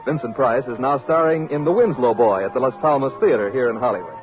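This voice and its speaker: A man's voice: medium pitch, fast. A man announcing in a 1950s network radio style, on an old broadcast recording. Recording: tinny old radio broadcast, music behind. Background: music.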